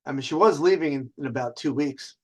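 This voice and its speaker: A man's voice: medium pitch, fast talker. A man hosting a casual storytelling session with dating advice, talking in a cocky, conversational way and swearing freely. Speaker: host telling personal stories and dating advice.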